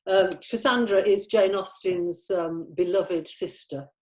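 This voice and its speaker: A woman's voice: mid-range voice, fairly even intonation, a little slow, slight background noise. A woman in a casual script discussion at a table read, offering comments as part of the team.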